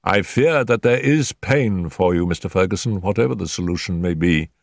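A man voicing a calm, incisive male detective who speaks in measured, clipped phrases. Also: deep voice, gravelly, no room sound, clean studio sound.

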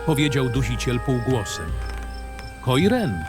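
A man delivers scripted first-person narration for a fantasy drama. His voice sits at an average pitch and varies expressively in a dramatic storytelling style, with music clearly audible underneath. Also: big pitch swings, unhurried. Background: background music.

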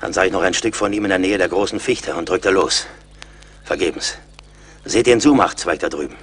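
An actor playing a seasoned, blunt and mocking frontier scout in a radio play, with a wry, commanding manner. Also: male voice, sits low, a little fast, slightly rough. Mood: urgent, grim. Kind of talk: German radio play acting.

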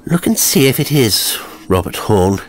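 A man's voice: medium pitch, sing-song, a little slow, pitched above the performer's own voice. A man voicing an arrogant, wicked aristocrat in a horror story, imperious, commanding and sneering as he questions.

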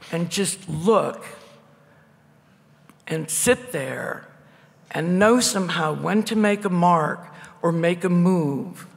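Loud, gravelly older woman in a hall. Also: on the low side. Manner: blunt, wry and digressive. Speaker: painter and guest artist. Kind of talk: candid conversational talk at a public event. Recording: echoey hall.

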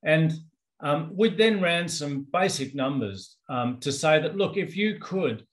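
Australian man giving a relaxed webinar talk. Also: on the high side, measured pace. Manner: conversational, frequent ums and restarts. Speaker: company executive presenting research. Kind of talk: webinar presentation and Q&A. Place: indoors, office with branded backdrop. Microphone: video call.

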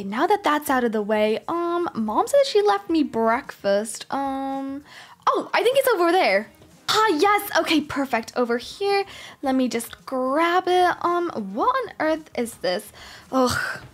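A spoiled, easily exasperated rich schoolgirl and young mum played by a woman. She has a high voice and sighs and grumbles to herself as she goes through an improvised game roleplay. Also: big pitch swings, unhurried. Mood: complaining. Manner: sighing, complaining, talking to herself.

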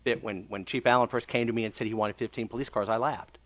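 A man's voice: medium pitch, fairly even intonation, fast. A man speaking as a city official, giving formal remarks at a public meeting into a desk microphone. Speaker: city manager. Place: council chamber.

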